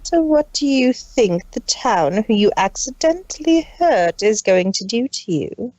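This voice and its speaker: A woman voicing a cruel, scheming cartoon pony sister with a deliberate, ice-cold, menacing delivery. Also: a little high, very animated, darkish tone, dry recording. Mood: cold menace.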